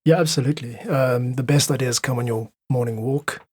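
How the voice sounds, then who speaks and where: A man with a husky voice, recorded close and dry. He talks casually but reflectively, as a musician guest who leans earnestly on his points. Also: mid-range voice, steady tone, a little fast, darkish tone, no echo. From South Africa. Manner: earnest, philosophical, emphatic repetition. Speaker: podcast guest, independent musician.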